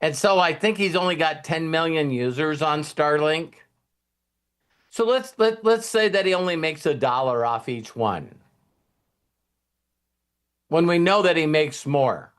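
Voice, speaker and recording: A man's voice: fairly high pitch, a bit bright. A man hosting a casual motivational talk in a rambling, folksy, anecdotal way. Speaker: host of a motivational team talk.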